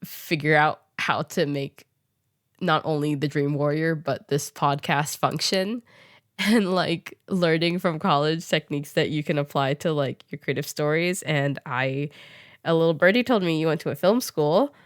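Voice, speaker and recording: Young adult female podcast host, slightly low voice, close dry sound. Casual, giggly, halting enthusiasm. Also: no room sound. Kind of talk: casual interview chat.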